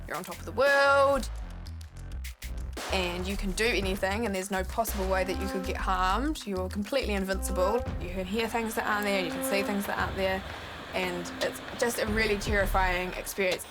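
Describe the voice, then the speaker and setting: Candid young New Zealand woman over loud music. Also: mid-range voice, moderate pace. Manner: candid, conversational. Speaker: documentary subject, poet and musician. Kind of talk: reflective personal interview narration. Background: loud music bed.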